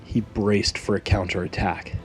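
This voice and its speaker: A man narrating a scripted fantasy story in a measured, dramatic storytelling delivery. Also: fairly low pitch, flat delivery, soft background music.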